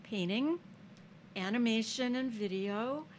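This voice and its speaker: Female radio host, friendly and measured, small booth, faint noise. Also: fairly high pitch. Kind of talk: radio interview hosting.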